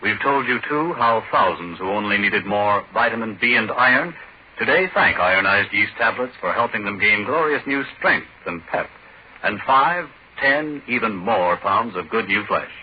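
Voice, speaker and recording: A man announcing on 1940s radio, delivering an emphatic, salesmanlike sponsor pitch. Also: animated, normal speed. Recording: muffled, noisy 1940s radio recording.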